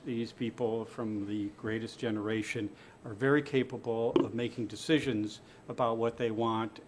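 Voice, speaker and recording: A man speaking to a medical panel, pausing often and restarting his sentences. His pitch sits in an ordinary middle range. A faint hum of room ambience sits behind him. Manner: halting, many fillers and restarts. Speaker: panel presenter.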